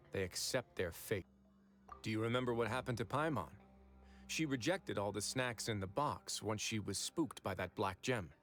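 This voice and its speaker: A man voicing a composed, calculating male warden in a game who speaks in a measured, reflective way. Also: lowish voice, close to an everyday voice. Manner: measured, reflective, explains reasoning at length. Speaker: game character. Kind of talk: game voice acting. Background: soft game music.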